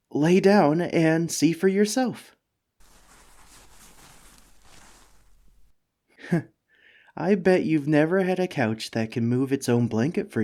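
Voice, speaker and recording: A man plays a timid mimic monster disguised as a couch, in a comedic ASMR roleplay. The voice sits a little high and moves very slowly, stammering and rambling. It pleads with the listener and puts itself down like a hapless, unwanted roommate. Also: dark tone. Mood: eager, coaxing. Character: anxious, self-deprecating mimic monster living as a talking couch.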